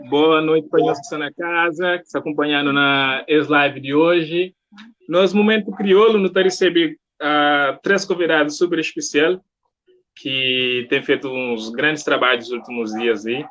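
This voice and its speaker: A man's voice: on the high side, fairly even intonation, moderate pace, a bit bright. A man with a Cape Verdean accent hosting a casual live online interview in an informal, welcoming way. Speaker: host. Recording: dull, lo-fi live stream sound.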